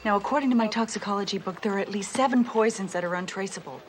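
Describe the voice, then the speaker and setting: An actress playing an earnest, by-the-book detective who explains things briskly. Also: female voice, mid-range voice, a little hiss or hum, close to an everyday voice.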